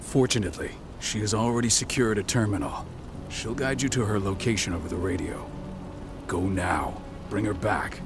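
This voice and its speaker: Urgent low-voiced mission commander, a man acting. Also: normal speed, music in the background, close to an everyday voice. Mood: urgent, commanding. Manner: terse, authoritative. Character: urgent, commanding male comrade briefing a werewolf mission. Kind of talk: video game cutscene acting.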